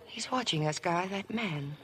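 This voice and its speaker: A woman's voice: lowish voice, unhurried, a loud crowd, very noisy, close to an everyday voice. An actress playing a poised, reasonable young society woman in a 1950s radio drama, composed and soothing. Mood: reassuring. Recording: old radio broadcast, background music.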